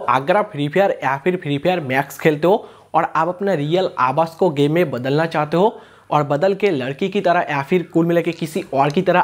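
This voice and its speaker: A man, hosting a tech tutorial and explaining step by step in a repetitive way. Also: fairly high pitch. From India. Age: 20s. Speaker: YouTube tech and gaming tutorial host. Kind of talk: scripted tutorial to camera. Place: small indoor room. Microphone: lapel mic.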